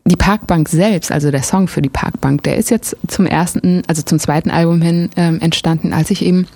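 A woman from Germany chats easily in an interview, her voice carrying a gravelly edge. She hesitates often and fills gaps with small sounds. The recording is dry and close, with no room around it. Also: lowish voice, fairly quick, no echo. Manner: relaxed, hesitant with frequent fillers. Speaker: musician guest. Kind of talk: casual interview talk.

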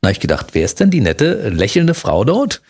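A man from Berlin interviews a guest in a relaxed chat. His husky voice swoops widely in pitch, and he teases and laughs along. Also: fairly quick. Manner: playful, teasing, laughs along.

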